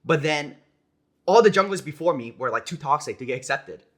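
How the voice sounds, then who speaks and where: Bright-voiced young American man chatting. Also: fairly high pitch, fairly quick. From United States. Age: young adult. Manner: relaxed, rambling, many "like"s and "you know"s. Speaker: esports player guest. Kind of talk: casual interview chat.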